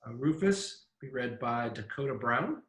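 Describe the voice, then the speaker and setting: Male festival host, bright forceful voice, medium pitch, roomy web-call sound, casual introductions. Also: animated, moderate pace. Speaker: festival director introducing a cast.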